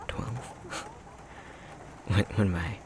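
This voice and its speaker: A man playing a tender, self-conscious young fox-shifter boyfriend in an intimate ASMR roleplay, speaking in a soft, breathy, halting murmur. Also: fairly low pitch, background noise. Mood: wry, reminiscing. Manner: unhurried, halting, self-deprecating chuckly storytelling.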